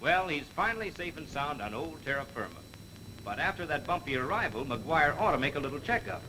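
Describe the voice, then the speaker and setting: A man's voice: fairly high pitch, lively intonation, a little fast, background noise, natural voice. A man playing a wisecracking, folksy narrator in a 1940s training film, telling cautionary tales with slangy, wry, theatrical delivery. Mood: mock dramatic. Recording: old 1940s film soundtrack, loud music behind.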